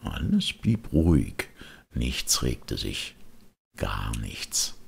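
A man narrating a children's tale as an audiobook in a theatrical, deliberate storyteller's manner. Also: very deep voice, very animated, gravelly, husky.

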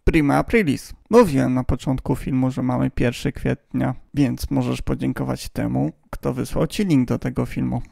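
A man's voice: mid-range voice, moderate pace, gravelly, few pauses, mellow, no room sound, clean studio sound. A man giving a casual, playful, tongue-in-cheek explainer voice-over as a hobbyist video host.

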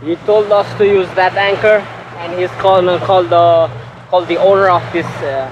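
A man's voice: high voice, steady tone, moderate pace, highs cut off. A young man from Sweden narrating a travel vlog to an action camera on a small open boat at sea, easygoing and direct. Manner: easygoing, talks straight to the camera. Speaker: travel vlogger. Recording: windy outdoor boat audio.

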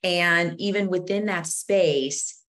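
A middle-aged woman, speaking deliberately and earnestly as an interview guest explaining things on a video call. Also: fairly low pitch, a bit bright. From born in Zambia, moved to the United States at age four. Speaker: guest author and co-host. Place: home office with bookshelves, indoors.

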